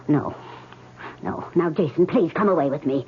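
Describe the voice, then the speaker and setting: An actress playing a grave, urgent believer in ghosts, solemn and commanding, in old radio drama style. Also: female voice, low-pitched, lively intonation, moderate pace. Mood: grave, pleading. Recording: dull, hissy old radio recording.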